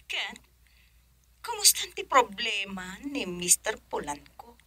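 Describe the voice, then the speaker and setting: A woman's voice: medium pitch, sing-song, slow, bright, forceful tone, full character voice. A woman with a Filipino accent acting a suspicious, nagging wife in a radio drama. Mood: suspicious, prying. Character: suspicious nagging wife questioning her husband.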